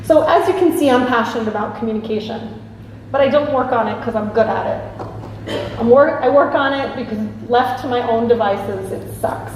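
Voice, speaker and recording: A woman from New York and Italy, a consultant giving a prepared talk in an earnest, emphatic, deliberate way. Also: on the high side, darkish tone. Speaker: speaker, evaluation consultant. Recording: distant echoey hall with faint noise.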